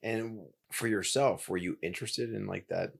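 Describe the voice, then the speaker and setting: A man's voice: lowish voice, moderate pace, creaky. A man hosting a podcast, asking casual, curious questions with fillers. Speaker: podcast host. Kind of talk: interview and read sponsor message.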